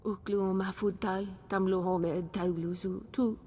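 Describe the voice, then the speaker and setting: A woman voicing a female alien in a video game, speaking an invented language in a deliberate manner. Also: mid-range voice, steady tone, long reverb tail, very noisy, close to an everyday voice. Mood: solemn, grateful.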